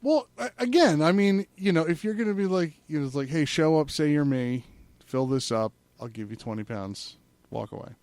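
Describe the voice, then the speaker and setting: A man's voice: on the high side, animated, normal speed, darkish tone, dry recording. A man on a studio podcast panel, arguing skeptically in casual, joking, crude banter.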